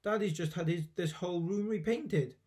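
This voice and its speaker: A man voicing an excitable, concerned young grandson in a storybook, calling out urgently. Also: sits high, normal speed, lightly acted. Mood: alarmed. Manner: exclamatory, urgent.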